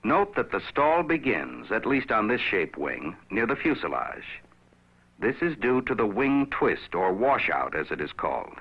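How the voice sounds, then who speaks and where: A man narrates a vintage training film with lively, widely moving intonation. His voice is slightly low and a touch husky, and he explains things in a measured, scripted, instructive way. The soundtrack is dull and aged. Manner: measured, instructive, addressing the viewer. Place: recorded voice-over.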